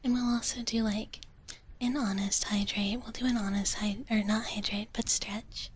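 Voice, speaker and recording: A woman whispering softly and breathily in a gentle, cutesy ASMR live stream, chatting casually to viewers and softly repeating her phrases. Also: mid-range voice, normal speed. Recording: clear steady background noise.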